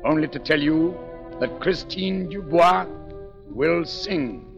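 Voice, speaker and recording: An actor playing a masked phantom in a 1940s radio drama, polite, measured and quietly ominous. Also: male voice, a little high, animated, gravelly, music in the background, heavily acted. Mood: polite menace. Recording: dull, band-limited 1940s radio broadcast.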